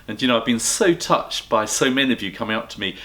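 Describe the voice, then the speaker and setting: A man's voice: mid-range voice, animated, quick pace, sharp tone. A man, a headmaster, reading a children's story expressively and addressing the listeners directly.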